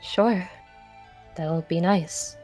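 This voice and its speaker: A woman's voice: on the low side, drawn-out words, darkish tone. A woman voicing a reserved, curious traveler who narrates a fantasy visual novel. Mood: dry, curious. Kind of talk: visual novel character reading. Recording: music behind the voice.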